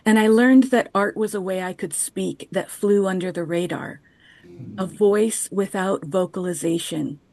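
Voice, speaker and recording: A woman in her fifties giving a measured, poetic chapel reflection over a video call. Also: medium pitch, a little mellow, no echo. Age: 50s. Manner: measured, poetic, unhurried delivery. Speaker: chapel speaker. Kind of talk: reflective personal chapel talk, read aloud. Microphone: video call microphone.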